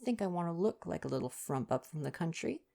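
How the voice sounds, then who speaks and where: A breezy young English woman from a storybook, as a male reader puts her on, speaking higher and with a little creak in the voice. She is cheeky and flippant, with brisk, slangy turns of phrase. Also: lively intonation, mellow.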